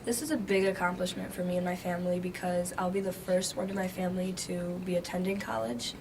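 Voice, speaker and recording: A young woman whose first language is Greek, a graduating student answering interview questions hesitantly with many pauses. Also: on the low side, steady tone. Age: young adult. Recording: noisy background.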